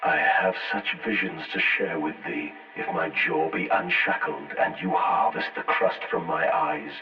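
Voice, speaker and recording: Sinister low machine voice, tinny line. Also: male voice, fairly even intonation, measured pace, soft background music. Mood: pleading, portentous. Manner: portentous, formal. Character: sinister male machine intelligence, grave and coaxing. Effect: radio or telephone filter. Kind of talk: scripted game voice acting, archaic diction. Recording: tinny band-limited line, echoing, distant.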